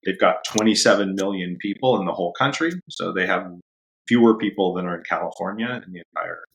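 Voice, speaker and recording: A man with a very low voice and plenty of gravelly fry talks easily as a podcast guest. He rambles in a relaxed way and breaks into laughs often. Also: quick pace, low-quality recording. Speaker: dog trainer guest. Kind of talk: casual interview chat.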